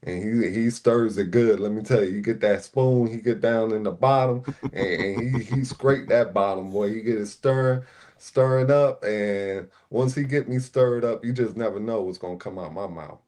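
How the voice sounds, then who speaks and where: A man's voice: fairly low pitch, normal speed, a little mellow. A man born in Puerto Rico and raised in Newark, New Jersey, a panel regular talking in casual livestream chat, laid-back with many "you know" fillers.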